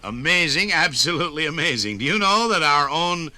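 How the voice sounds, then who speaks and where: A man with an American accent plays the folksy announcer in a 1950s radio sponsor skit. His voice is very bright and forceful, pitched fairly high. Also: expressive, a little fast, no room sound.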